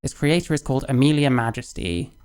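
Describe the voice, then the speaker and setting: A man's voice: mid-range voice, animated, quick pace, a little mellow, clear, resonant tone. A man speaking casually and candidly, rambling and self-deprecating, laughing and swearing as he reacts to a clip. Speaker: youtuber reacting to another creator's video.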